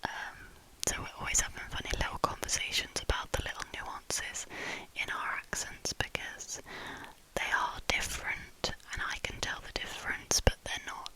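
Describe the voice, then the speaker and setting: A woman in her twenties with a southern English accent, softly whispering trigger words and chatting as an ASMR creator. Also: moderate pace, poor audio. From southern England. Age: 20s. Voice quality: soft whisper throughout.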